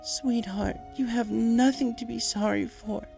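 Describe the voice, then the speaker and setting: A man's voice: very high voice, steady tone, unhurried, gravelly, darkish tone, voice pushed up, heavily acted. A man voicing a tender, reassuring mother pony in a story. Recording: music playing behind the voice.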